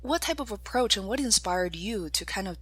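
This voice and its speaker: A woman's voice: mid-range voice, lively intonation, moderate pace, husky. Upbeat woman hosting a podcast. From China. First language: Chinese. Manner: enthusiastic, chatty, laughs often. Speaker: podcast host. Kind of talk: podcast interview.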